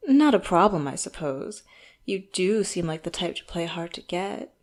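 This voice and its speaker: A woman's voice: fairly low pitch, sing-song. A woman playing an elegant, condescending villain interrogator in an audio roleplay, speaking in a theatrical, mock-polite, taunting way. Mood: condescending, patient. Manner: slow, theatrical, mock-polite, taunting, drops into hushed asides. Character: elegant, wealthy villain boss, coolly menacing and condescending interrogator. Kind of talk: audio roleplay, scripted monologue to the listener.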